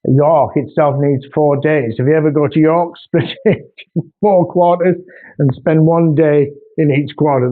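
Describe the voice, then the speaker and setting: Older man in his 60s, North Yorkshire accent, rambling homely chat, middle pitch, thin phone-like sound. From Australia, raised in North Yorkshire. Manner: rambling, homely, with many ums and restarts. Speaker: artist. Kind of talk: casual video introduction. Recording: tinny phone-like recording.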